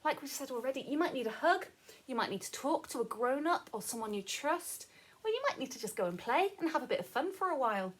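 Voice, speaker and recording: High-pitched, animated woman reading to children. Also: quick pace, slightly rough. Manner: gentle, encouraging, sing-song delivery to young children. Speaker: primary school teacher reading a story aloud. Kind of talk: children's story read-aloud with chatty asides.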